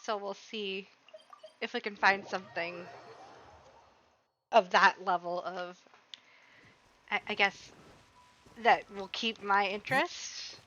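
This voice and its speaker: Woman streamer, bright crisp tone, rambling casual chat over gameplay. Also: medium pitch, fairly even intonation, slow, pauses often, lo-fi sound, slight background noise. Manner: rambling, talks back to the game as she plays. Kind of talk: casual chat while gaming.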